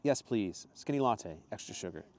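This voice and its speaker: A polite male newcomer in a story read by a man, somewhat bewildered. The tone is mellow and the pitch moves freely as he speaks. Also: lively intonation, moderate pace. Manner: polite, puzzled.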